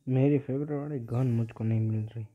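A man's voice: medium pitch, fairly even intonation, fairly quick. Man doing gameplay commentary, dull lo-fi sound. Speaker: gaming YouTuber.

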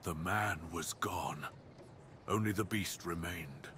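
A man playing a brooding, cursed dire wolf master in an ASMR roleplay, speaking in a solemn, deliberate, theatrical way with formal wording. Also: sits low, fairly bright tone, a little hiss or hum. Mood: haunted, grave. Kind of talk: ASMR roleplay, dramatic monologue.

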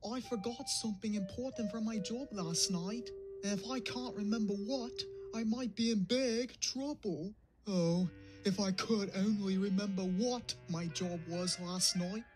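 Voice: medium pitch, a little slow, music in the background, close to an everyday voice. An actor voicing a forgetful, anxious cartoon diesel engine, apologetic and hesitant, prone to gasps. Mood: anxious, eager. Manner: hesitant, gasping, dithering. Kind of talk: cartoon comic dub dialogue.

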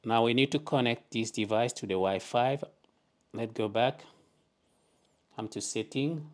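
A man hosting a step-by-step tech tutorial, talking through each setting in plain, deliberate instructions at a desk indoors. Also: medium pitch, darkish tone. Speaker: tutorial host.

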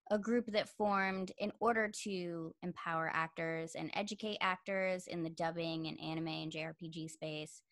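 Chatty young woman on a video call. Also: fairly bright tone. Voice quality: says she sounds young with a high voice. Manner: chatty, many "like" fillers. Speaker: interviewer. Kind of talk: casual video-call interview chat.

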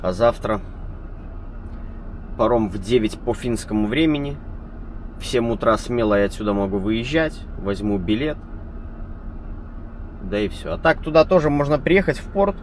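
A man who drives a truck, chatting to his viewers in a relaxed, rambling vlog style. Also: mid-range voice, moderate pace, pauses often, slight background noise. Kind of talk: casual vlog chat. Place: truck cab and roadside.

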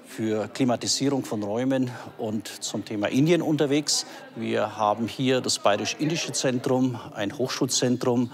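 An older man gives an interview statement in an office. His voice is of medium pitch with a clearly gravelly, creaky edge. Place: office indoors.

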